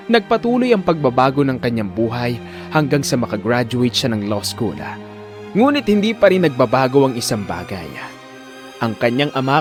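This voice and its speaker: A man narrating a scripted audio drama in a suspenseful, theatrical manner. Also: mid-range voice. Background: music.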